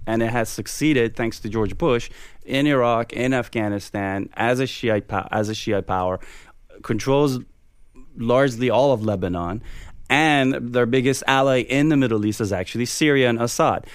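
A man's voice: mid-range voice, normal speed, clean tone, dry recording. A man giving casual political commentary as a guest, blunt and colloquial, with plenty of swearing. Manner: blunt, heavily profane, colloquial.

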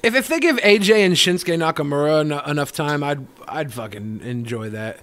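A man's voice: fairly high pitch, animated, moderate pace, fairly bright tone. A man bantering casually on a podcast panel, crude and joking, swearing freely.

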